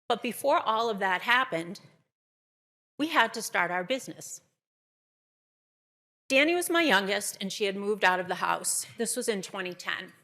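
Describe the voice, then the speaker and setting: A woman's voice: mid-range voice, sharp tone. A middle-aged woman with a Boston accent, telling her story as a keynote speaker to an audience.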